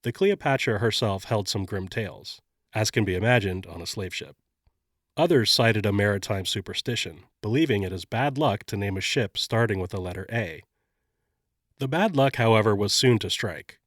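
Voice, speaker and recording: A man hosting a podcast, sounding slightly nasal and congested while getting over an illness, narrating a scripted story in a measured way. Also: fairly low pitch, a bit bright, no room sound, studio quality. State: congested, recovering from covid. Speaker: podcast host.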